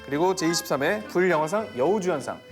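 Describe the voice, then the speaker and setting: A man co-hosting a film show as critic, giving informative commentary in a steady reading style. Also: a little high, quick pace. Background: background music.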